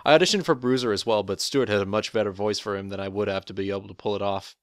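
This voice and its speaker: A young man with a deep voice, a voice actor giving a prepared, relaxed, matter-of-fact account of his role. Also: fast talker, clean tone, dry recording, studio quality. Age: young adult. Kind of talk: prepared interview monologue. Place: college dorm room.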